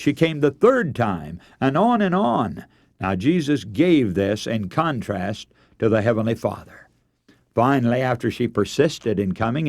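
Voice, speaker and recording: A man's voice: medium pitch, darkish tone, dry recording. A man preaching a radio sermon, earnest and emphatic, telling stories and posing rhetorical questions.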